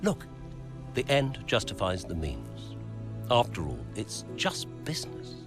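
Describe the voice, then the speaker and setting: A low male voice with plenty of melodic movement, playing a calculating racing team executive in a game. He speaks smugly and deliberately in a documentary-style interview, pausing to think, over faint music. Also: very animated. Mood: coolly unapologetic. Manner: deliberate and smug, with thoughtful pauses.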